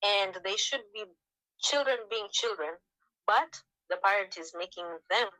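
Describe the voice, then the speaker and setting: A woman from Africa giving an informal talk to a group on a video call, switching to lively voices when quoting parents. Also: measured pace, no echo, rough recording. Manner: conversational, acts out quoted parents with jumps in pitch.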